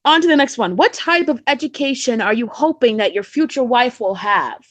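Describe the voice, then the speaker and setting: A woman's voice: on the high side, animated, moderate pace, fairly bright tone, dry recording, lo-fi sound. A woman co-hosting a casual chat, reading questions aloud with a giggly manner.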